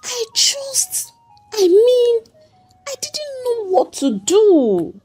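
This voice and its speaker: A woman's voice: very high voice, wildly swooping pitch, very slow, mellow, faint music behind, pitched above the performer's own voice, heavily acted. A woman voicing a distraught, guilt-ridden young wife in a dramatic story, tearful, trembling and pleading. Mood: desperate, crying.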